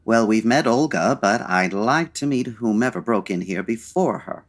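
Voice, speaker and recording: An actor playing a suave, wry 1940s gentleman detective in a comedic radio drama, with dry, urbane and formal delivery. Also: on the low side, expressive, normal speed, close to an everyday voice. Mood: dry, composed. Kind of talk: comedic old-time radio drama acting.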